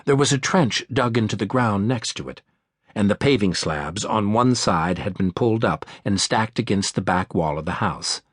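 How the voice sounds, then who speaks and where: A man's voice: on the low side, expressive, normal speed, breathy edge, no room sound. A man reading a thriller audiobook as scripted narration, close on a studio microphone. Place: dry studio.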